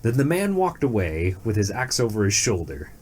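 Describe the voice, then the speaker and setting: Storyteller, scripted fairy-tale narration, highly animated pitch, expressive, some background noise. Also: moderate pace.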